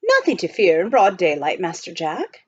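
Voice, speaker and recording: A woman's voice: on the low side, wildly swooping pitch, moderate pace, husky, no room sound, muffled recording, exaggerated voice. A woman voicing a proper, unflappable male English butler with formal, precise, reassuring speech in a storybook. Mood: firm.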